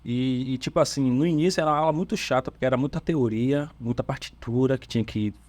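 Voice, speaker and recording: Man, Bahian accent, relaxed halting storyteller, dry close sound, mid pitch. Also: no room sound. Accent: Bahian Portuguese. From Salvador, Brazil. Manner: easygoing storytelling, hesitant fillers and restarts, laughs often. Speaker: bassist of a church worship band, podcast guest. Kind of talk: casual podcast chat.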